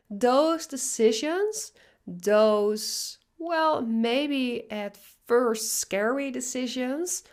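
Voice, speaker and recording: A woman's voice: mid-range voice, a little mellow. A woman from the Netherlands with a Dutch accent, a business coach introducing herself on her podcast in an earnest, determined way. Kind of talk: solo podcast monologue.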